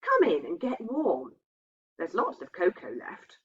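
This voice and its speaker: A woman's voice: a little high, huge pitch swings, a little slow, breathy edge, pitched above the performer's own voice, heavily acted. Woman playing an eager young girl, muffled. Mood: eager welcoming. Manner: eager, gushing. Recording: dull, muffled lo-fi sound.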